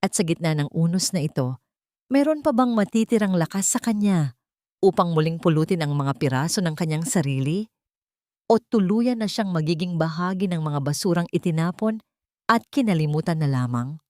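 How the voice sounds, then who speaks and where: A woman's voice: on the low side, animated, normal speed, a little mellow, no room sound, studio quality. A woman reading a scripted, dramatic story as its narrator.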